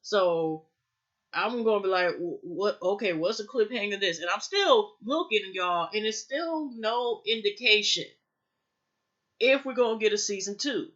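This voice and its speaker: A woman's voice: medium pitch, a little slow, fairly bright tone, dull audio. A woman recapping a TV episode in a loose, rambling way, with lots of fillers and restarts. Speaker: TV show reviewer.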